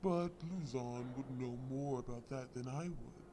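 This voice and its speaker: A man's voice: mid-range voice, measured pace, warm tone, a little hiss or hum, lightly acted. A man playing a possessive, mock-sweet crime villain in an audio roleplay, taunting with theatrical politeness. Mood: gloating, mocking. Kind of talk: scripted audio roleplay acting.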